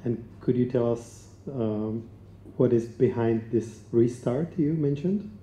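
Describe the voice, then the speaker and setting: A male moderator putting brief, measured questions to a guest at a film-school talk. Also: medium pitch, dark tone. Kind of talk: interview questions. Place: event hall with an audience. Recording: roomy hall, mic at a distance.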